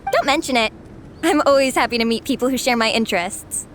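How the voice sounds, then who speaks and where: A woman's voice: a little high, fairly quick, fairly bright tone, slight background noise, natural voice. A woman voicing an earnest, eager young fangirl in a video game, gushing and theatrical. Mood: friendly, eager. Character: earnest, eager young comic-book fangirl, bubbly club member. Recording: background music under dialogue.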